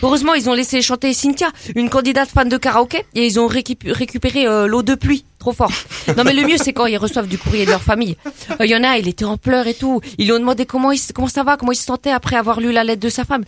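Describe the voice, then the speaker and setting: A woman's voice: fairly high pitch, fairly even intonation, fast talker, no room sound, natural voice. A woman playing a cheeky, naive young woman from the suburbs, talking in a slangy street manner with a French banlieue accent in a scripted radio comedy sketch. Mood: chatty. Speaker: comedy columnist.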